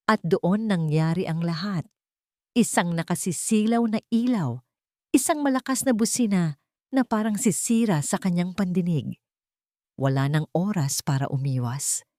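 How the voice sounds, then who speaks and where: A woman narrating a scripted romance story in an emotive, measured storytelling manner. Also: lowish voice, dark tone, dry recording, studio quality.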